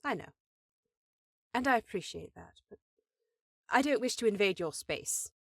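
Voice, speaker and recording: A woman's voice: mid-range voice, lively intonation, a little slow, creaky, no echo, clean studio sound. A woman playing an affectionate, teasing elf headmistress in a scripted fantasy audio roleplay, composed and slightly formal. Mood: affectionate, reassuring. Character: powerful elf sorceress sister, affectionate, teasing, dutiful headmistress.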